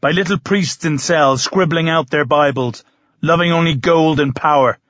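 A man's voice: fairly high pitch, normal speed, a bit bright, no room sound, lo-fi sound, natural voice. A man voicing a fervent, bitter druid in an audiobook, with a solemn, incantatory delivery. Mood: bitter, vengeful. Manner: incantatory, grandiose. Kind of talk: audiobook character reading.

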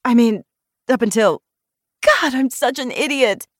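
Woman narrator as outrageous woman doctor, raised pitch, playful, emphatic, blunt, audiobook character. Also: sing-song, a little fast, no room sound, studio quality. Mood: brash. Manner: playful, emphatic, mock-dramatic.

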